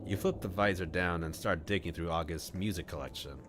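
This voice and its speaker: A man's voice: low-pitched, fairly quick, fairly bright tone, slight background noise. A man streaming a read-aloud of a visual novel, narrating it as a storyteller. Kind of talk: storytelling narration with acted dialogue.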